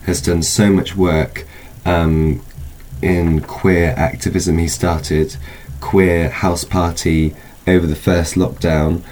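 Man, very low pitch, hesitant thoughtful phrasing, informal interview guest, faint background noise. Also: level tone, takes it slowly, a little mellow, low-quality recording. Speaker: film festival spokesperson, guest. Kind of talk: informal interview, explaining an event.

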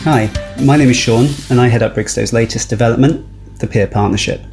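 A man's voice: mid-range voice, a little fast, a little mellow, faint music behind. A man delivering scripted podcast narration as a charity project leader.

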